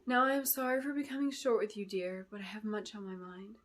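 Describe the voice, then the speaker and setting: A woman voicing a gentle, preoccupied female ruler speaking to her young apprentice in a fantasy storybook. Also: mid-range voice, steady tone, brisk, lightly acted. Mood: apologetic, gentle. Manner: gentle, restrained.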